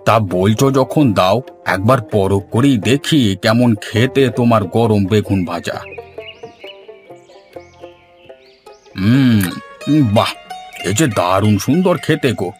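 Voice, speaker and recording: A man's voice: on the low side, a little slow, lightly acted. A man voicing a nosy, folksy grown village man in a cartoon, with theatrical drawling delivery. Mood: curious, pleased. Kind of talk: Bengali cartoon voice acting. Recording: music under the voice.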